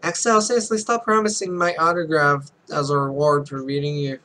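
A man's voice: a little high, steady tone, brisk, bright, forceful tone. A young man reading a visual novel aloud as a let's play host, offhand, stumbling over lines and adding casual, sweary commentary. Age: young adult.

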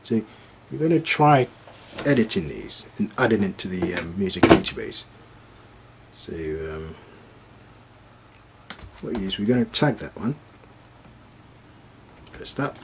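A man giving an informal, unscripted step-by-step device demonstration, haltingly thinking aloud as he works through it. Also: on the low side, background noise. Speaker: product demonstrator.

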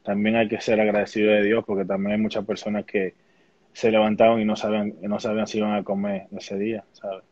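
A young man with a Dominican accent, speaking calmly and measuredly as a baseball player guest in an interview, often restarting his sentences. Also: fairly low pitch, no echo. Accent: Dominican Spanish. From Dominican Republic. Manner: calm, measured, with many restarts. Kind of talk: calm conversational interview. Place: small room indoors at home. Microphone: laptop webcam, video call. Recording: dull lo-fi video call audio.

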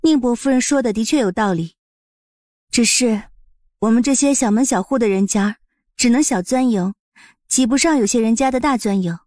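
Woman acting a poised, highish-voiced young mother. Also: moderate pace, darkish tone, no echo, studio quality, lightly acted. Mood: coolly defiant. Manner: courteous, pointed. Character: poised young mother, polite but sharp-tongued. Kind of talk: audiobook drama acting.

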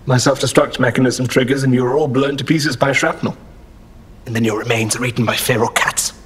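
An actor playing a dry, wary android in an audio drama, precise and deadpan with a husky edge. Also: male voice, medium pitch, brisk, close to an everyday voice. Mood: dry warning.

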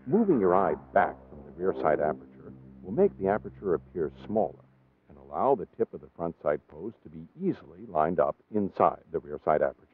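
A man with an American accent reading scripted training-film narration in a measured, formal, precisely enunciated way. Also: sits low, husky, narrow, tinny audio.

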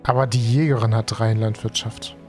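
A man's voice: on the low side, level tone, fairly quick, soft background music. A young man from Germany, a gaming streamer with a cold and tired, talking casually and slangily through a let's play with many fillers. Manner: chatty, slangy, thinks aloud with many fillers. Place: home streaming room. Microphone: headset.

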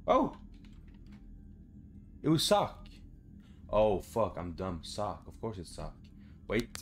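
Low-voiced young man, unhurried gamer. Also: wildly swooping pitch, faint music behind. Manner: muttering to himself in short phrases, casual swearing. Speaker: gamer, let's play host. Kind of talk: casual horror game commentary. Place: small room at home.

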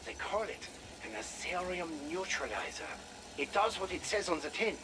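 A grave, idealistic scientist in a video game. His voice sits a little high and he speaks in a measured, formal way. The message comes over radio with clear noise behind it. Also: very animated. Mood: measured, explanatory. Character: earnest defector scientist man, grave and idealistic.

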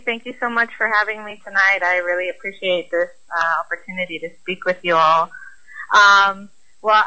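Bright-voiced woman from Los Angeles. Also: lowish voice, low-quality recording. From Los Angeles, California. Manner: earnest, frequent ums, steady explaining. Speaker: guest professor. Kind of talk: radio interview talk.

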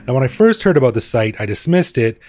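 A man's voice: medium pitch, big pitch swings, fast talker, darkish tone. A man with a North American accent hosting a podcast, casual and joking, laughing often. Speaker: podcast host. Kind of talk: casual podcast chat and interview.

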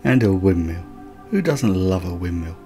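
A man's voice: deep voice, animated, moderate pace, dark tone, faint music behind. A man narrating a countryside road trip as a voice-over, with light chatty asides. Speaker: travel vlogger. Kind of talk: scripted travel narration.

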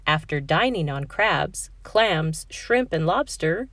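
A woman storyteller narrating a picture book for young children. She takes her time, phrasing carefully with an animated, melodic delivery. The sound is close and dry. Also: deep voice, slow, no room sound. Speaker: read-aloud storyteller.

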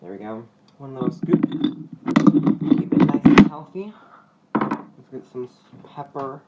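A man's voice: medium pitch, measured pace, highs cut off. A young man chatting casually and playfully as he vlogs his cooking in a home kitchen, recorded on a phone at arm's length. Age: young adult. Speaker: vlogger. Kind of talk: casual cooking vlog chat. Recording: clattering dishes and clicks, noisy kitchen.

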